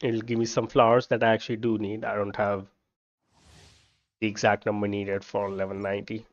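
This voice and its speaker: Male streamer, gravelly and musing, casual play-by-play, slightly muffled recording. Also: fairly low pitch, level tone, a little fast. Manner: musing, thinking aloud while playing. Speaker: game streamer. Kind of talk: casual gameplay commentary. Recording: dull lo-fi microphone sound. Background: game music.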